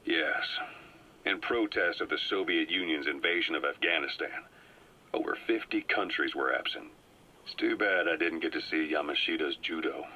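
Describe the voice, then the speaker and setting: An actor playing a calm, well-informed agent who briefs a comrade in a measured, explanatory way. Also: male voice, sits low. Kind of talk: video game cutscene voice acting. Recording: muffled cassette tape audio.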